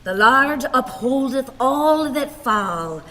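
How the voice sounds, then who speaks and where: A woman playing a frail, emotional old Irish wife in a radio play, speaking in a plaintive, halting way. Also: high voice, animated, pushed and bright, exaggerated voice. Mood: deliberate. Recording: reverberant hall, hissy rain-like background noise.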